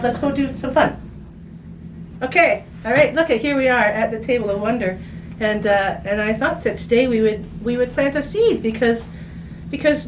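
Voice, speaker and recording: Playful lady doing a kids craft demo, chatty and thinking out loud, mic kinda far, noisy. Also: mid-range voice. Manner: playful, chatty, thinks aloud with childlike sound words. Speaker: children's story reader and craft host. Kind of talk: casual craft demonstration for children. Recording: distant mic, clearly noisy room.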